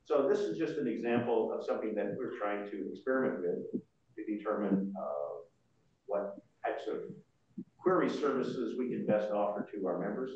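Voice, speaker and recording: An older man presenting informally at a board meeting, explaining things in a halting way. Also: fairly low pitch, lively intonation, a little mellow, a little hiss or hum. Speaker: association board member presenting. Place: large indoor meeting room. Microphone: far room microphone. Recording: muffled, very echoey room, distant mic.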